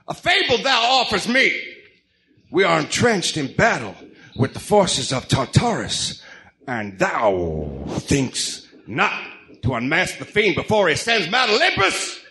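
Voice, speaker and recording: Delusional godlike crime boss, audio drama, grandiose, archaic diction, unhurried delivery, very animated pitch. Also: male voice, a little hiss or hum, full character voice. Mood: indignant, pompous. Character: delusional crime boss who believes he is Zeus. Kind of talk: amateur audio drama acting.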